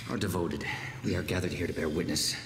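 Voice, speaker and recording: An actor playing a sadistic, theatrical cult preacher in a video game, an American man with zealous, drawn-out phrasing. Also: deep voice, noisy background. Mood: mock solemn. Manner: preacherly, drawn-out phrasing with sudden whispers and shouts. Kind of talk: video game cutscene acting.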